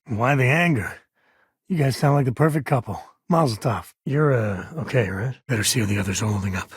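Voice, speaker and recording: A man playing a roguish, good-hearted space-faring ex-ranger and devoted father in a video game, speaking in a casual, wry, conversational way with a rough edge to the voice. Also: medium pitch, no echo, clean studio sound. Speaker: video game companion character.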